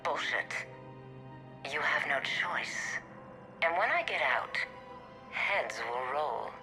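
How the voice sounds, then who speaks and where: An actress playing a sadistic, unhinged, vengeful imprisoned mercenary boss in a video game, gloating with menace and contempt. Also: female voice, low-pitched, big pitch swings, slow, over music, full character voice. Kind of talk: dramatic video game dialogue.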